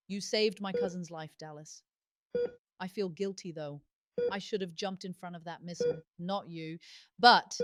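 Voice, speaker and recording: A candid, warm-hearted warplane girl, voiced by a woman, with a mid-pitched voice. The delivery is heartfelt audio drama acting. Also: no echo, lightly acted. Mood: quiet, guilty, then hopeful.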